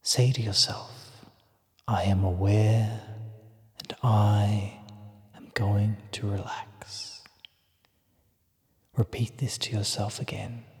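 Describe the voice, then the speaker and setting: A man narrating a guided relaxation in a hushed, soothing, measured way, giving gentle instructions with breathy phrase endings. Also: medium pitch. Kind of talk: slow guided relaxation script. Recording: long reverb on the voice.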